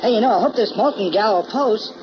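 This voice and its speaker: Actor as high-voiced eager press photographer. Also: male voice, fast talker, close to an everyday voice. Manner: breezy, slangy. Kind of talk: 1940s radio drama acting. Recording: muffled, lightly noisy old radio recording.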